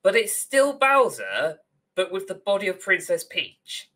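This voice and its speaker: A young man chats as co-host of a casual podcast on a video call. His voice is bright and very animated in pitch. He takes his time, rambling through his points at a slow pace. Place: small room at home, on a video call.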